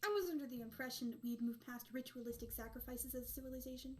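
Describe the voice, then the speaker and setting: A woman's voice: high-pitched, fast talker, darkish tone, natural voice. A woman voicing a defiant young female captive in a visual novel, haughty and sarcastic. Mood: scornful. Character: defiant sharp-tongued captive woman, mocking, theatrical, unafraid.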